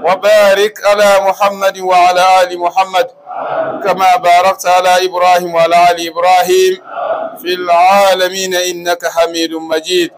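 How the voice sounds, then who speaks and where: A middle-aged man from Burkina Faso, an imam, loudly intoning a chanted supplication in prayer inside a large mosque hall. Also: high voice, monotone, normal speed. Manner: intoned, calling out loudly over the congregation. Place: large mosque hall, indoors, congregation standing.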